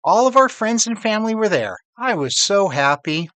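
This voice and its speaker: A man voicing a loving storybook mother who fondly recalls happy times, with drawn-out, emphatic phrasing. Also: high voice, very animated, a bit bright, dry recording, voice pushed up. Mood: fond, joyful.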